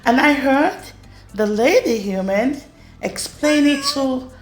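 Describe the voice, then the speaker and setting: A woman reading a rhyming children's storybook aloud in an expressive way. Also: sits high, slow. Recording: roomy echo with music underneath. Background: music.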